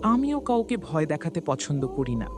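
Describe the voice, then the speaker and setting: Woman as a friendly boy ghost, swooping pitch. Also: very animated, normal speed. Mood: earnest. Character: friendly lonely boy ghost of a banyan tree. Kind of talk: cartoon story dialogue.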